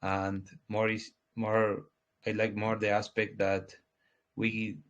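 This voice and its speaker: Guest, 20s male, low voice, hesitant and restarting, lo-fi sound. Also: completely flat delivery, fairly bright tone. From Ecuador. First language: Spanish. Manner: halting, restarts often, searches for words. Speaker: guest, crypto project founder. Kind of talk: casual interview answers. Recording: dull lo-fi audio.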